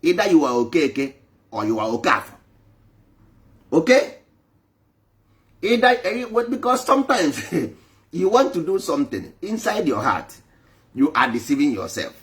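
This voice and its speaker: A man's voice: a little high, very animated, a little slow, long pauses, fairly bright tone. A man preaching emphatically to a live online audience, repeating his points for force. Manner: emphatic, repetitive, switching between English and Igbo. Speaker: traditional spiritual speaker.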